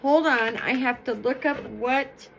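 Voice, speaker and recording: A woman reading stories aloud in an expressive, emphatic, explanatory way. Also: high voice, a little slow, creaky, a little hiss or hum, low-quality recording. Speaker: storyteller reading folktales aloud. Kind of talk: expressive read-aloud storytelling with informal explanatory asides.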